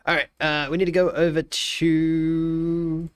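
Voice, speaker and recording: A man's voice: fairly high pitch, little pitch movement, slow, smooth, no echo. Man, early twenties, live-stream chat, blocked nose, joking, mumbly asides, dry sound. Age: 20s. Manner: joking, greets chat warmly, trails off into mumbles.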